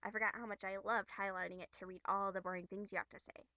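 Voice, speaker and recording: A woman's voice: medium pitch, little pitch movement, quick pace, pushed and bright, no room sound, voice pushed down. A woman voicing a snide, haughty young troll girl from a webcomic, drawling with mocking, exasperated sarcasm. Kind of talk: amateur character voice acting from a webcomic.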